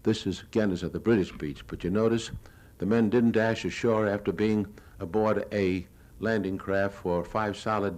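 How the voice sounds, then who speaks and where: Older male, American, lowish voice. Reporter's measured, plain-spoken lecture narration of war film, archival 1970s recording. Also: darkish tone. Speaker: newsreel reporter giving a lecture.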